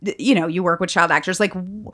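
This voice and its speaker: A woman in her forties hosting a podcast, joking and talking in quick bursts with lots of restarts. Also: lowish voice. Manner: animated, jokey, trailing restarts. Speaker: podcast host. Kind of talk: casual comedic chat.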